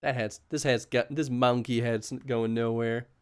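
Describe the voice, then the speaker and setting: A man streaming a horror game live, chatting casually and yelling excitedly at the action. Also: medium pitch, measured pace, clear, resonant tone. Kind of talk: live gameplay commentary.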